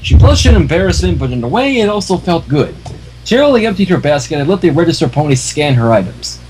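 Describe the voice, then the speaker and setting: A man's voice: a little high, very animated, fast. A man informally reading a fan story aloud on a livestream, adding his own offhand comments. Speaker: livestream fanfiction reader. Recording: noisy home livestream microphone.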